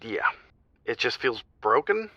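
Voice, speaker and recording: A man playing a grieving married father calling an advice show, speaking haltingly and confessionally, on slightly muffled audio. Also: medium pitch, big pitch swings, sharp tone, no room sound, heavily acted. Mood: heavy, conflicted. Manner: halting, subdued, trailing off. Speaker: caller.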